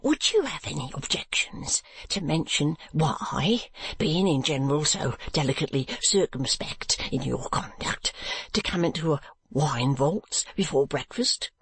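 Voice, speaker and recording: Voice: mid-range voice, animated, a little slow, a bit bright, husky, no room sound, full character voice. A reader voicing a meek, nervous middle-aged stationer who stammers and pleads anxiously.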